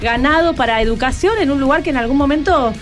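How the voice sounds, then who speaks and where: A woman with a Buenos Aires accent hosts a live radio interview. Her voice sits fairly high, and music plays softly behind her in the studio. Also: fast talker. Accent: Argentine, Buenos Aires. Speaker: radio host. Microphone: studio microphone.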